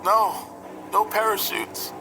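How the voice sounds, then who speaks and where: An actor playing a frightened, desperate pilot in a radio drama, with a strained and anxious delivery. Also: male voice, sits high, fairly even intonation, slow, vocal fry, sharp tone, a little hiss or hum, full character voice, poor audio. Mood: tense. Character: frightened, desperate male pilot in a failing plane.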